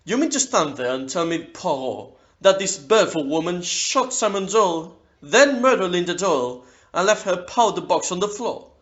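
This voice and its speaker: Man as impatient ship's captain, audio play. Blunt, incredulous, animated intonation, slightly muffled sound. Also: high voice, moderate pace, few pauses.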